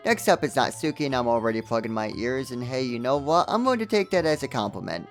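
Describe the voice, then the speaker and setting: A man narrates a comedic game review in a mid-pitched voice. He tells the story in a scripted way and drops in dry, sarcastic asides. Music plays along behind him. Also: big pitch swings, fast.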